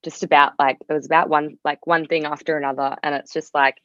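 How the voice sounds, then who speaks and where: Young aussie woman on a podcast, lowish voice, chatty and open, bit muffled dry audio. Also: little pitch movement, fast, a bit bright, dry recording. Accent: Australian. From Australia. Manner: candid, rambling with frequent "like". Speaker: podcast guest, singer-songwriter. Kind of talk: casual conversational interview.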